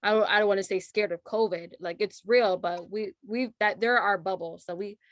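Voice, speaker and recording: A woman speaking as a panelist, talking in a halting way and correcting herself as she goes. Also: medium pitch, no echo. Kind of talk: casual panel discussion.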